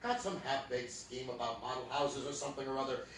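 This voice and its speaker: An actor playing a blustery secret millionaire posing as a humble lodger, hesitant and quick to bluster, in old-time radio comedy style. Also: male voice, medium pitch, distant mic, lightly acted. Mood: bossy, brisk. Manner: indignant, hesitant, stammering excuses. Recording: echoey theatre stage recorded from afar, noisy.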